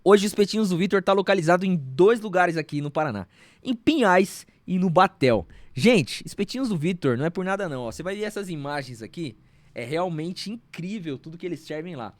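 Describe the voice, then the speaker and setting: A man's voice: high voice, expressive, a little fast, dry recording. A man hosting a Brazilian business podcast, reading sponsor ads and chatting with a guest in an enthusiastic, friendly manner. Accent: Brazilian Portuguese. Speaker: podcast host. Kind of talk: sponsor reads and interview hosting.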